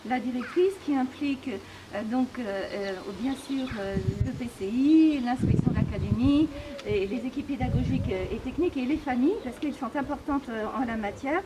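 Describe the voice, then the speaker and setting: Woman with a pretty high voice doing an official briefing, formal wording but lots of hesitating, noisy recording. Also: moderate pace. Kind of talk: spoken press briefing, unscripted. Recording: clearly noisy on-location recording.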